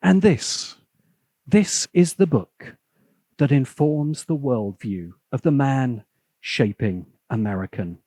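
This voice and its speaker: A man narrating a scripted video essay in a sardonic manner, with dramatic pauses. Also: mid-range voice, dark tone, dry recording.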